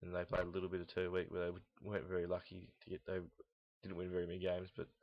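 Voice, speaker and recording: Young man, low-pitched monotone, rambling and blunt, casual unscripted gaming commentary, muffled lo-fi recording. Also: quick pace. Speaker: host of a gaming team audio log. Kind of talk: casual unscripted commentary on esports team predictions. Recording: dull lo-fi, roomy echo, mouse clicks.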